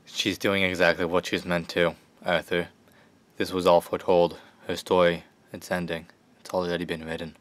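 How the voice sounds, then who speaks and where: An actor playing a grave, fatalistic conspirator who speaks in prophecy, in an audio horror drama. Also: male voice, sits low, little pitch movement, moderate pace, lightly acted. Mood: grave, prophetic.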